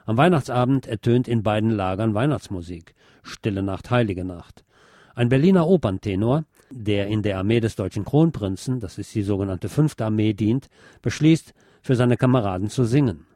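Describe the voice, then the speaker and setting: A man's voice: fairly low pitch, animated, moderate pace, dark tone, no room sound, studio quality. A man hosting a radio show, presenting a film review in a semi-scripted narrating style.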